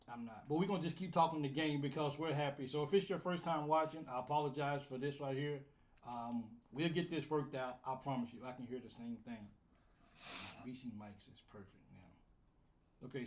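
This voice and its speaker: A man's voice: mid-range voice, a little mellow. A man hosting a live fan call-in show, casual and flustered while fixing his audio setup. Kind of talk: casual live-stream chat.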